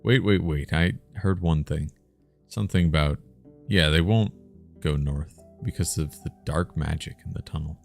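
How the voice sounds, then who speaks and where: A helpful, plain-spoken village man from a fantasy game, read out by a man. He talks haltingly in a very deep, creaky voice. Light music runs behind him. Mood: earnest.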